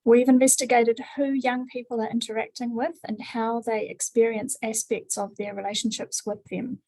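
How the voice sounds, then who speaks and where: A woman's voice: fairly high pitch, level tone, moderate pace, dark tone, poor audio. A woman researcher presenting findings, hesitant with many fillers. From New Zealand.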